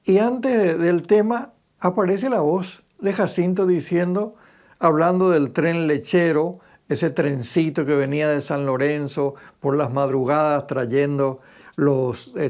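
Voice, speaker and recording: A man's voice: fairly high pitch, darkish tone. An older man with a Paraguayan accent recounting anecdotes in an interview, adding small tag questions for agreement. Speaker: interviewee, cultural radio broadcaster.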